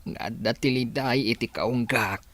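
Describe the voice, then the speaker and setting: A man with an Ilocano accent playing a frail, sorrowful old village father in a radio drama, speaking slowly and pleadingly. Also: mid-range voice, fairly bright tone, no room sound. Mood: sad, weary. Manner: slow, emotional, pleading. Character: frail, sorrowful old village father near death, longing for his daughter.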